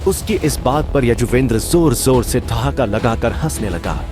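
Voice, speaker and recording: A man's voice: mid-range voice, expressive, normal speed. A man narrating a fantasy audio drama in a dramatic, scripted storytelling style. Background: background music.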